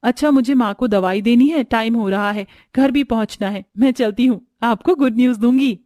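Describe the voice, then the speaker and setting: A woman voicing a modest, sweet young woman from a poor family, polite and gentle in manner. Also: fairly high pitch, normal speed, no echo, clean studio sound, close to an everyday voice.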